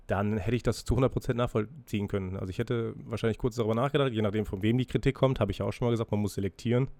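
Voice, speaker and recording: Mann, erkältet, tiefe Stimme, trockener Raum, Podcast-Monolog. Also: male voice, on the low side, fairly even intonation, racing, a little mellow, dry recording. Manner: freely spoken, musing, self-reflective with hesitations. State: has a cold, breathing heavily. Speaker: podcast host. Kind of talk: casual solo podcast monologue.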